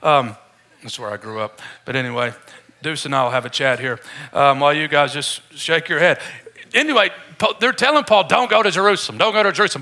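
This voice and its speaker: Male preacher, slightly high pitch, forceful bright tone, informal and jokey sermon delivery. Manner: informal, joking with the congregation. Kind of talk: conversational sermon.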